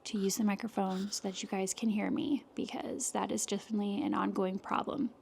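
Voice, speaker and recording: A soft-spoken woman chatting casually to camera in her home art studio, talking through her work with lots of fillers. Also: medium pitch, steady tone, brisk, vocal fry, breathy edge. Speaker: illustrator vlogger. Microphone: external microphone.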